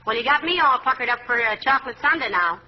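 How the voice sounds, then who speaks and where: An actress playing a patient, affectionate middle-aged wife, teasing and coaxing good-humoredly in a 1940s radio comedy. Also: female voice, fairly high pitch, animated, quick pace. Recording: dull vintage radio recording, faint noise.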